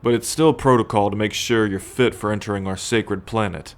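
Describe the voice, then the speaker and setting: Man playing a low-voiced, haughty alien soldier. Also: steady tone, close to an everyday voice. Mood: teasing, possessive. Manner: measured, imperious, musing with sighs and hums. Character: possessive male alien soldier, haughty, teasing, coolly menacing captor. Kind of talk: ASMR roleplay acting, addressed to the listener.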